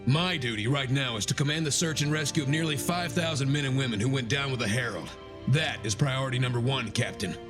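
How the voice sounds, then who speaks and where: A man's voice: medium pitch, a little fast, lightly acted. Stern male officer acted by a man, mid-range voice, weary and self-blaming, gives clipped orders, music underneath. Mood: firm, commanding. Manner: grave, clipped, commanding. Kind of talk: animated motion-comic drama acting.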